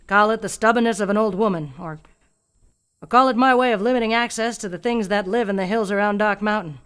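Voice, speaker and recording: A woman's voice: medium pitch, quick pace, smooth, close to an everyday voice. Grave, haunted old country woman, played by an actress. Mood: uneasy, resolute. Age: older. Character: fearful, stubborn old rural woman guarding alien secrets. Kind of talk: radio play acting.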